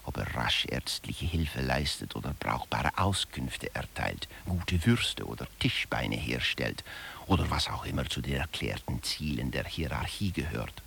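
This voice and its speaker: A man playing a management theorist who lectures wryly and didactically in a radio play, with a gravelly, husky voice. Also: very deep voice, expressive, unhurried, fairly bright tone, a little hiss or hum, heavily acted. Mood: dry, explaining. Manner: dry, didactic, addresses listeners directly.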